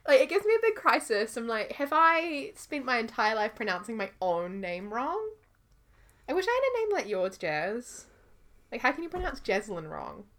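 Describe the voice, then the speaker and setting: A woman's voice: fairly high pitch, very animated, moderate pace, a bit bright. A woman co-hosting a podcast in casual chat, laughing often and acting out what people say. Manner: giggly, acts out quoted voices. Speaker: podcast co-host.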